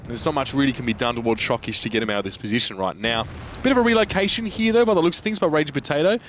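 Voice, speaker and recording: A man doing energetic play-by-play esports commentary. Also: mid-range voice, a little hiss or hum. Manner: energetic, fast, swooping emphasis.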